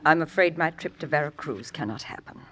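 An actress playing a gentle, reserved exile's wife, polite and formal, in an old-time radio drama. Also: female voice, sits low, animated, fairly quick, slight background noise.

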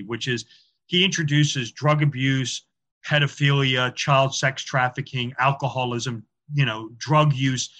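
Chatty man explaining films, bright voice. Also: medium pitch. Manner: chatty, many "um" and "you know" fillers, repeats words. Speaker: podcast guest, author on film symbolism. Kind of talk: casual interview talk.

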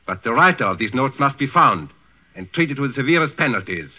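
An actor playing a suave, menacing German Nazi district governor in a 1940s radio drama, with a clipped, condescending manner. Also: male voice, medium pitch, very animated, fairly quick, phone-quality sound, natural voice. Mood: blunt, officious. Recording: band-limited old 1940s radio recording.

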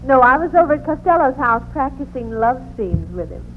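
An actress playing a perky, flirtatious secretary in a 1940s radio comedy. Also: female voice, sits high, big pitch swings, brisk, slight background noise. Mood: flirty, playful. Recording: thin, tinny old radio recording.

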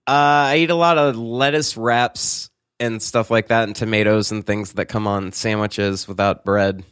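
A man's voice: lowish voice, fairly even intonation, measured pace, fairly bright tone, clear, resonant tone. A man in his thirties, a podcast guest getting over a cold, talking in a laid-back, self-deprecating way. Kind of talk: casual chat.

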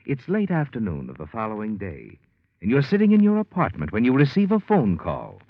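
A man's voice: medium pitch, wildly swooping pitch, fairly quick, dry recording. A man narrating a 1940s radio drama, speaking to the listener as "you" in a knowing, insinuating manner. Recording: tinny lo-fi old radio with faint music.

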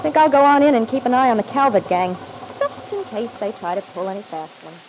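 A woman's voice: a little high, expressive, a little fast, darkish tone, close to an everyday voice, low-quality recording. An actress playing a wry, guarded young woman in a vintage radio soap, clipped and informal. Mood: brisk, guarded. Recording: old radio recording with faint hiss.

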